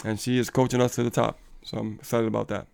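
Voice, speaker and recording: A man's voice: medium pitch, flat delivery, quick pace, vocal fry, a little mellow, clear, resonant tone. A man co-hosting a casual live coaching stream, upbeat and motivational, laughing often and reading viewer comments aloud.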